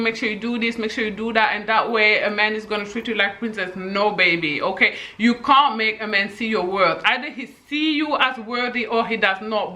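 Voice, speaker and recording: Bright, husky-voiced woman giving dating advice. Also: a little high. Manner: emphatic, repeats "okay?" to drive points home. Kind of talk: direct-to-camera advice monologue.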